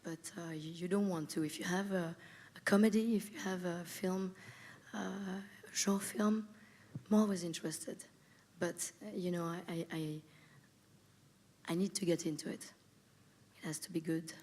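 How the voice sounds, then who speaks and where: A woman's voice: on the low side, fairly even intonation, moderate pace, darkish tone. A woman producer who grew up in Spain, answering a panel question in a thoughtful, hesitant way with many fillers. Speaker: film producer.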